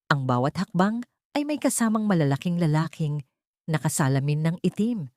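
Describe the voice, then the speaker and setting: A woman's voice: on the low side, a little mellow, no room sound, clean studio sound. A woman narrating a scripted audiobook drama in a dramatic storytelling style.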